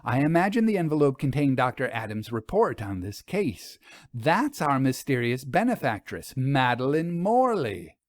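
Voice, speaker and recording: Storybook role: detective's faithful young female assistant, male reader. Measured, reassuring, explanatory, with lively inflection. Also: mid-range voice, no echo, studio quality, lightly acted. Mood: revealing. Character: Lida, loyal, reassuring young woman, detective's assistant and narrator. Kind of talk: audio storybook first-person reading.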